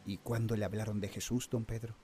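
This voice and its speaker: An actor playing a respectful, formal Spanish colonial naval officer in a radio drama. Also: male voice, on the low side, little pitch movement, a little fast, mellow, faint music behind, natural voice. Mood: gentle, curious. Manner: formal, polite.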